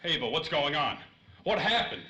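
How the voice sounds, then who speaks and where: A man's voice: on the high side, big pitch swings, moderate pace, sharp tone, low-quality recording. A man playing an impatient, wisecracking, slangy tough guy in 1930s radio drama style. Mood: shocked. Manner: slangy, snappy. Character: hard-boiled, impatient, wisecracking male 1930s detective type. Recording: faint noise, light ambience.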